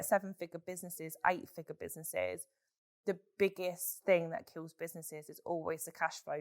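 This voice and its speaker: Woman, hoarse voice, lower pitch, frank and chatty, dry close sound. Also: no room sound. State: hoarse from a chest infection. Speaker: podcast host. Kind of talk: solo podcast Q&A, casual chat.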